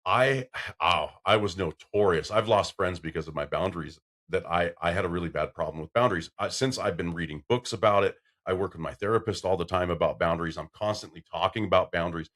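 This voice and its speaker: This is a middle-aged man with a deep, booming low voice that fills the room. He hosts a casual podcast, rambling through stories and acting out what people said, and his volume climbs whenever he gets excited. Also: fast, hardly any pauses. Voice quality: deep voice that carries. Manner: loud when excited, storytelling with acted-out quotes. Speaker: podcast host.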